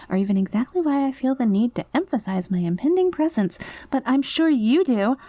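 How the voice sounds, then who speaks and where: An obsessive girlfriend from an audio roleplay, acted by a woman, with a medium-pitched female voice. She is sugary and overly polite, with menace and panic held just under the surface. The sound is dry, with no room around it. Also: a little mellow, no room sound, close to an everyday voice. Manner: saccharine pet names, pointed over-polite phrasing, veiled threats. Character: obsessive reformed yandere girlfriend, sweetly menacing, barely holding back panic.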